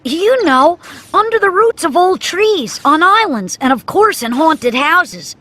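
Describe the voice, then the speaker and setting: A woman voicing an eager, confident young boy in an animated storybook, bossy and full of plans. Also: very high voice, measured pace, heavily acted.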